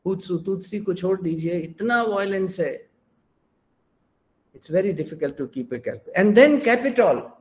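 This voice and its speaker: An older Indian professor delivers a keynote lecture online, his English carrying an Indian accent and shading into his native Hindi. His voice sits high for a man, and he presses his points home with emphatic repetition. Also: animated, fast talker, a little mellow. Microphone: online video call.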